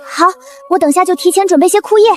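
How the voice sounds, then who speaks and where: A woman playing an anxious, cautious young player in an animated survival drama, sounding worried. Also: very high-pitched, fast talker.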